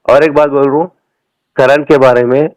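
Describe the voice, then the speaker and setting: A man's voice: medium pitch, fairly even intonation, moderate pace, a little mellow, no room sound. A man with a Hyderabadi accent talking politics in an emphatic, colloquial, repetitive way on a recorded phone call. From Telangana, India. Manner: emphatic, repeats phrases, colloquial. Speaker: party supporter on a phone call. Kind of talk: political rant in casual phone conversation.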